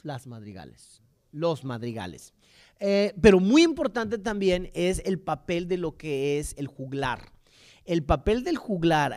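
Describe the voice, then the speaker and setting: A man with a Mexican accent giving an informal history lecture, rambling and often repeating or correcting his words. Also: fairly high pitch.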